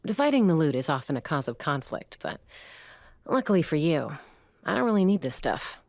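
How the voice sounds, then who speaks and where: A woman's voice: sits low, big pitch swings, a little fast, vocal fry, darkish tone, no echo, heavily acted. A woman voicing a worldly, playful, money-savvy mediator in a video game. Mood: playful, smooth.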